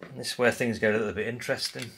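A man's voice: medium pitch, steady tone, very fast, noisy background. A man, hosting a casual hands-on radio demo at a workbench, chatty and rambling with lots of fillers. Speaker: radio hobby channel host. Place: indoor workbench room.

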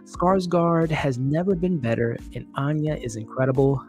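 A man's voice: a little high, normal speed, a little mellow, faint music behind. Chatty upbeat man live-streaming. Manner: chatty, upbeat, laughs often, thanks viewers. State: losing his voice. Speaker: livestream host. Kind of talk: casual live-stream chat reading comments. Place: small room indoors. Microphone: studio mic on desk, close.

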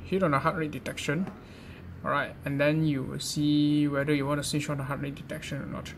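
Higher-pitched man with creaky voice, noisy recording. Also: little pitch movement, brisk. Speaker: presenter of a gadget how-to guide. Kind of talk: informal step-by-step tutorial narration. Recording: clearly noisy amateur recording.

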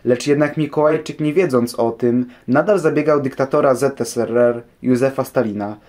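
Mellow man narrating history. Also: medium pitch, normal speed. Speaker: narrator answering questions on history. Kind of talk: scripted documentary narration.

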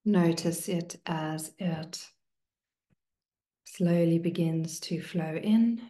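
Slow, hushed woman guiding meditation, roomy sound. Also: on the low side. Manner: hushed, soothing, with long pauses between short phrases. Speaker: meditation teacher. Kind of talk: guided meditation, slow and spoken to listeners.

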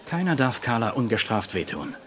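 Sardonic doctor, wildly swinging intonation. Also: male voice, medium pitch, sing-song, moderate pace, fairly bright tone, a little hiss or hum. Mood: menacing. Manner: sarcastic, mocking, swinging delivery. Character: sardonic, teasing male hospital doctor. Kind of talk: German TV comedy dub acting.

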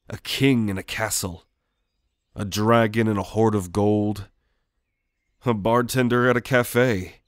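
Man playing a slow, low-voiced gentle shadow demon. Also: no room sound, studio quality, lightly acted. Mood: reassuring, inviting. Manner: soft, halting, soothing. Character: gentle male shadowy sleep demon, a shade. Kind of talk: ASMR roleplay, spoken to the listener.

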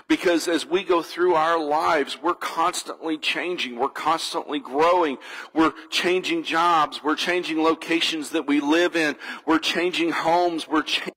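A man's voice: on the high side, sharp tone, low-quality recording. A man preaching a sermon as a church pastor, earnest and reflective, building through repeated phrases. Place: church sanctuary.

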